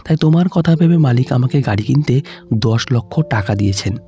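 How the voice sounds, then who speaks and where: A man's voice: mid-range voice, lively intonation, fairly quick, mellow, lightly acted. A man voicing a greedy, angry husband with a boastful, threatening manner in an audio story.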